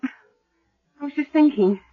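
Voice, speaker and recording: A woman's voice: high-pitched, soft background music. Concerned woman doctor, muffled radio drama. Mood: worried, hopeful. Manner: measured, insistent questioning. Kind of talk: 1970s radio drama acting. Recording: muffled 1970s radio broadcast.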